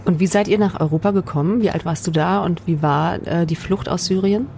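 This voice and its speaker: A woman's voice: on the low side, brisk, vocal fry, background noise. A woman co-hosting a podcast, introducing segments and asking questions. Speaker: podcast host. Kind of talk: podcast presenting.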